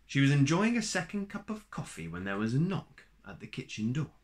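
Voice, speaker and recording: A man's voice: mid-range voice, slight background noise. Guy reading a kids' picture book, playful little asides, mid voice that swoops up and down a lot. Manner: animated, slow and playful storytime delivery with asides. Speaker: storyteller.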